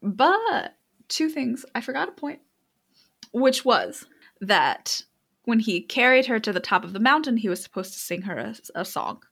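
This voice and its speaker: A woman's voice: on the high side, very animated, unhurried, creaky, fairly bright tone. A young woman casually retelling a book's plot to a friend, rambling with lots of fillers and restarts. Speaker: storyteller recapping a book. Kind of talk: casual chat.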